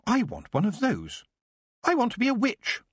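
A man voicing an earnest young nobleman's son, gentle but defiant, speaking formally. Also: extremely high pitch, huge pitch swings, normal speed, husky, dry recording, pitched above the performer's own voice, heavily acted. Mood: yearning.

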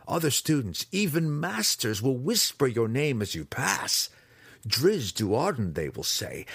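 A man voicing a fierce, derisive dark-elf weapons master, in a husky, raised voice. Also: lowish voice, no echo, studio quality, voice pushed up, exaggerated voice. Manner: growling, derisive. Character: fierce taunting male drow weapons master.

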